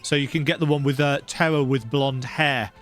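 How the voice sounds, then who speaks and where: A man's voice: mid-range voice, fairly even intonation, normal speed, fairly bright tone, soft background music. A man hosting a let's play, giving casual, rambling, conversational commentary on a video game.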